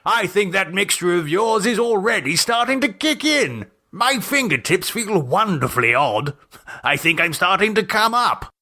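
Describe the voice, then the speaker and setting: A man voicing a jovial, excitable upper-class English gentleman in an audiobook, with plummy, exclamatory delivery. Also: high-pitched, normal speed, pitched above the performer's own voice, exaggerated voice. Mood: giddy. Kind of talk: audiobook character acting.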